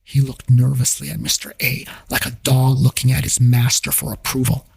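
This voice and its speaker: A man's voice: mid-range voice, monotone, moderate pace, creaky, slightly rough. A man telling a scripted first-person story as the narrator of an audio drama. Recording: music bed behind voice.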